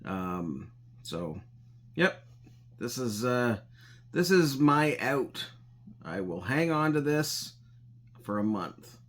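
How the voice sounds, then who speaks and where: Slow, halting middle-aged man rambling casually. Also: medium pitch, big pitch swings. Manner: halting, rambling, thinks aloud. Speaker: hobbyist electronics recycler and video host. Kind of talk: casual unscripted vlog talk. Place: indoors at a home coffee table.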